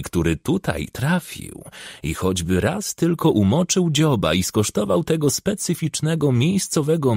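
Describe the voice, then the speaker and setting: Male audiobook narrator, gravelly and dry-sounding, hugely varied pitch, expressive storytelling. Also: no room sound.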